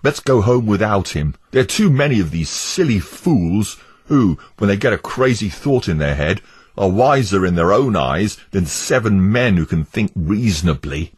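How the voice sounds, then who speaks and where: A man voicing a stubborn, scornful male neighbour who blusters and mocks, in a husky voice. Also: lowish voice, big pitch swings, normal speed, a little mellow, pitched above the performer's own voice, heavily acted.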